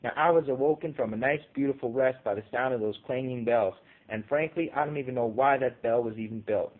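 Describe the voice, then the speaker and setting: A man's voice: mid-range voice, fairly quick, narrow, tinny audio, close to an everyday voice. A man voicing a pompous, irritated king from a storybook, giving stern, declamatory pronouncements. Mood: annoyed, scolding. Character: pompous, irritated king dismissing monsters, commanding a crowd. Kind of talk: storybook character voice in audio short story.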